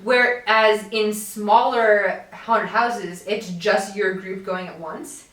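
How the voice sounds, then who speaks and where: A young woman co-hosting a casual podcast, telling stories in an animated way with lots of fillers. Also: mid-range voice, slow, distant mic. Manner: animated storytelling, many fillers. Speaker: podcast co-host. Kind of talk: casual chat. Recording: echoey room, voice off-mic.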